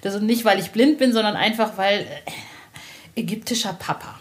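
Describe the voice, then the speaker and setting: A woman's voice: mid-range voice, animated, normal speed. A woman chatting casually in a candid, informal and colloquial way. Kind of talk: casual chat.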